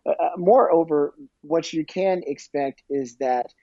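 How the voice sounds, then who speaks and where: A man giving conversational interview answers as a podcast guest, discursive and halting as he thinks aloud. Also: a little high, mellow, no room sound, muffled recording. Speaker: podcast guest, audio storytelling company executive.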